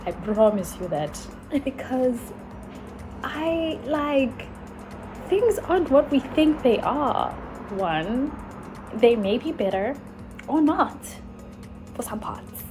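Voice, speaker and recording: A young woman from Africa, musing slowly in a casual travel vlog, full of sighs and likes. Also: sits high, big pitch swings, over music. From South Africa. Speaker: vlogger. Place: in Italy while travelling.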